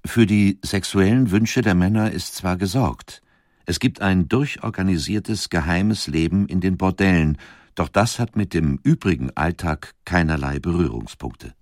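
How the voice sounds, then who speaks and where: Low-voiced man narrating a documentary. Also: measured pace, a little mellow, dry recording, studio quality.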